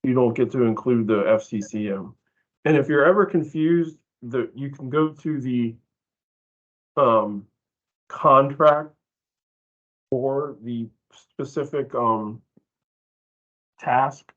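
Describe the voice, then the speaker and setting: A man explaining billing rates informally in a work meeting, halting and often correcting himself. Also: medium pitch. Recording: dull, lo-fi online meeting audio.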